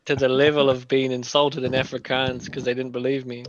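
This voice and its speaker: A man chatting casually in a hesitant, halting way with frequent restarts. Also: medium pitch, little pitch movement, no room sound. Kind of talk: casual chat. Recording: dry, dull lo-fi sound.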